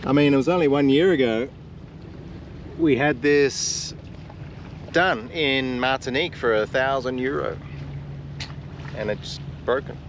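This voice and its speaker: Easygoing male sailing vlogger, chatty and unscripted, on a camera with clear boat noise. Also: mid-range voice, unhurried, long pauses. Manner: chatty, easygoing, thinking aloud with fillers. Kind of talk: casual vlog chat. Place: on a sailboat, on deck and in the cabin. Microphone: action camera held at arm's length.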